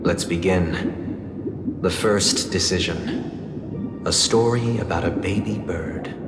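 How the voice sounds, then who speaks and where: An actor playing a suave, formal idealist villain in a video game, speaking in a measured, grandiose way with deliberate pauses. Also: male voice, low voice, very noisy. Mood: calm, commanding. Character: suave, solemn male idealist villain, orphaned elder brother. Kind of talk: theatrical video game voice acting. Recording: cavernous long reverb, loud music behind. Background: loud background music.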